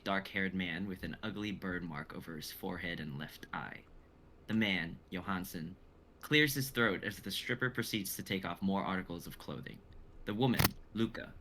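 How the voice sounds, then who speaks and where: A man's voice: low-pitched, pushed and bright, a little hiss or hum, rough recording. A young man reading stage directions as dramatic narration in a live script reading. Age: young adult.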